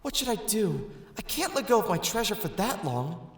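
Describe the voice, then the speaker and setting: A man voicing an innocent, eager teenage boy in an animated audio drama. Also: high-pitched, moderate pace, background noise. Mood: uneasy, thoughtful.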